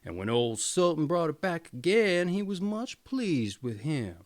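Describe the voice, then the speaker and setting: A male storyteller reads a storybook in a theatrical style. His voice sits a little high for a man and moves through a very lively range of pitch. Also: a little slow. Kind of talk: expressive storybook reading.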